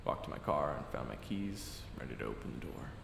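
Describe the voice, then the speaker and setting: A man playing a weary boss with a buried temper in a dramatic audio roleplay, gravelly and brooding. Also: very deep voice, very animated, a little fast, fairly bright tone, echoey room, slight background noise, full character voice. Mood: brooding, resigned. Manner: brooding when narrating, exasperated in dialogue. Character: weary reformed hot-tempered man, a soft-pushover boss. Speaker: narrator and lead character.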